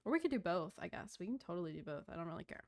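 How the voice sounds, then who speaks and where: A woman's voice: on the low side, big pitch swings, very fast. A woman game streamer talking casually and informally to her viewers while she plays. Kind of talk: casual livestream chat while gaming. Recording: game music behind the voice. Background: game music.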